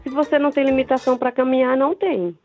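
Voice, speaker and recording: A woman's voice: sits high, fairly even intonation, quick pace, soft background music. A woman working as a tour agent, patiently explaining trips over the phone. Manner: patient, informative. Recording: phone line.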